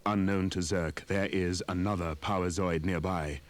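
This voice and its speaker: A man's voice: low-pitched, steady tone, normal speed, natural voice. A man playing a solemn, world-weary namer of war machines, narrating a children's audio story in a portentous manner. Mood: ominous. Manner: grave, portentous. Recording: loud music behind the voice.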